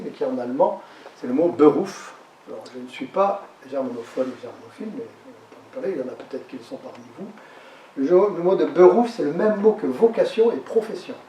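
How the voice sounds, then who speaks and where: A man with a fairly high voice giving a lecture, heard from some distance off the mic. His words bounce around an echoey hall. He talks in a halting way, repeating words and restarting phrases as he explains. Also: warm tone, slight background noise.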